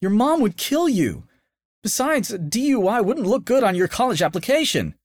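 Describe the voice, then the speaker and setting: Man doing a teenage girl, frightened, cautious, high voice, pleading. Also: big pitch swings, moderate pace, heavily acted. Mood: worried. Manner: pleading, raised voice.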